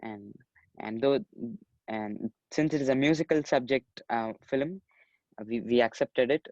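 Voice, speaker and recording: A man's voice: medium pitch, measured pace, a little mellow, dry recording, highs cut off. A young man from Chennai in his teens, polite and earnest, often saying "you know" while telling his story in an interview. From Chennai, India. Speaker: young actor and musician, interview guest. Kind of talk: casual interview.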